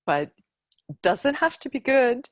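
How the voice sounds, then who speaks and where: A woman running an improv class over a video call, speaking slowly and with encouragement. Her sentences drift and restart as she thinks aloud. The sound is dry and close, with no room around it. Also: fairly high pitch, very animated, dry recording. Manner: encouraging, unhurried, trailing off. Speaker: improv workshop leader. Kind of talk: leading an online workshop.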